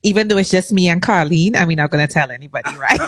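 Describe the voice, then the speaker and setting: A woman from Trinidad with a Trinidadian accent, hosting a casual interview on a video call, lively and chatty. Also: lowish voice, heavy background noise. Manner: lively and chatty, laughs with guests. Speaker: host.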